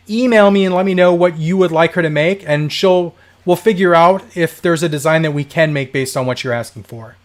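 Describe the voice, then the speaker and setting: A man talking off the cuff on a home livestream, his voice hoarse and dry. His pitch sits in an ordinary middle range. He rambles cheerfully, laughs along as he goes, and reads viewer comments aloud. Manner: chatty, rambling, laughs often. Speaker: livestream host. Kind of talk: casual live chat reading viewer comments.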